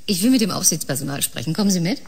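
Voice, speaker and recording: Composed, lowish-voiced female scientist. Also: lively intonation, racing, vocal fry, darkish tone, a little hiss or hum. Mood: musing. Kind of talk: German science-fiction radio drama acting.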